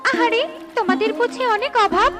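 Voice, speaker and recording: Woman as a very high, kindly mother duck. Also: fairly quick. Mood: sympathetic, coaxing. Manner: sympathetic, coaxing. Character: kindly mother duck who is secretly a fairy. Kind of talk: Bengali cartoon dialogue. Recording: loud music bed under voice. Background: music.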